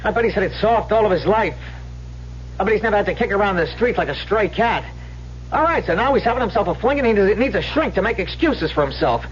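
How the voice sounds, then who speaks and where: A streetwise, greedy hustler, lively swooping delivery, old radio drama, muffled hissy recording. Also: male voice, high-pitched, quick pace. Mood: bitter, defiant. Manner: slangy, streetwise, wheedling. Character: cocky, greedy small-time swindler sweet-talking his girlfriend.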